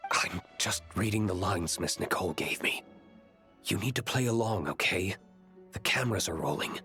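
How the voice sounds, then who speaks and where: A man voicing a serious, reserved young gentleman in an anime game, explaining things in a measured, deadpan way. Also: sits low, faint music behind. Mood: dry.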